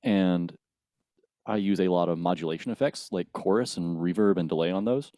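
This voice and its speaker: A man's voice: sits low, normal speed, dark tone, no echo, studio quality. A man in his early twenties, a band musician, explaining things step by step in a casual interview. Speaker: band bassist, guest.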